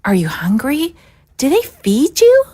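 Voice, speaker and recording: A woman's voice: high voice, wildly swooping pitch, measured pace, mellow, exaggerated voice. An actress playing a timid, apologetic young female recruit, hesitant and kind, in an audio drama. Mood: shy curiosity. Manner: hesitant, meek.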